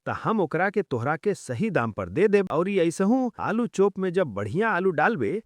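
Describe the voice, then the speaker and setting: A wheedling, pragmatic cartoon shopkeeper, played by a man, with a highish and very animated voice. He talks in a confiding, persuasive way with a Bhojpuri accent. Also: a little slow, no room sound. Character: wheedling, pragmatic male village grocer selling adulterated flour. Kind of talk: animated moral story dialogue.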